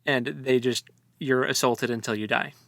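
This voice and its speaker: A man's voice: medium pitch, fairly even intonation, moderate pace, creaky, a bit bright, no echo. Male co-host, mid-range voice, informal conversational delivery, dry recording with no room sound. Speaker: podcast co-host. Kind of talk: casual book discussion chat.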